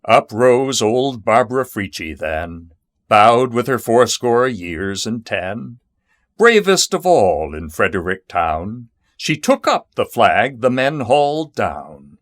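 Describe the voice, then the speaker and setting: A man reciting narrative poetry in a measured, solemn and dramatic manner. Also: medium pitch, sing-song, dry recording, studio quality. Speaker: poetry reader.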